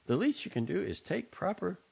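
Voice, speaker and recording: A nagging, fretful middle-aged mother with a raised, swooping voice, as a male reader puts her on. She scolds in a husky, slightly gravelly tone that leaps up high. The recording sounds dull and lo-fi. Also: sing-song, a little fast, dark tone, exaggerated voice. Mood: scolding. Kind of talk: audiobook character voice.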